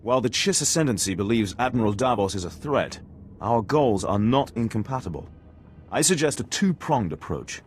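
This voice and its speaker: A man's voice: mid-range voice, big pitch swings, moderate pace, soft background music, lightly acted. An actor playing a stern, formal male military commander in a video game, giving briefings with authority. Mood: grave, measured.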